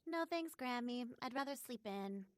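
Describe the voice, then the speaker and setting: Radio drama: actress as sulky young girl, reluctant, slightly high voice. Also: animated, moderate pace, no room sound, lightly acted. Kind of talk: audio drama dialogue.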